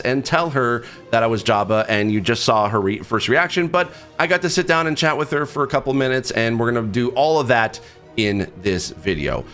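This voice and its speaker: Excitable man vlogging. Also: mid-range voice. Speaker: video game accessibility reviewer and vlog host. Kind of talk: casual personal vlog talk to camera.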